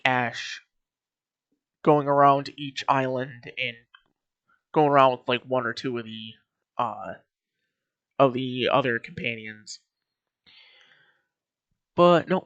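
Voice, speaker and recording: A man's voice: mid-range voice, no echo. Man giving casual commentary, dry close sound. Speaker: video host giving opinions on an anime series. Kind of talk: casual commentary ranking a cartoon series.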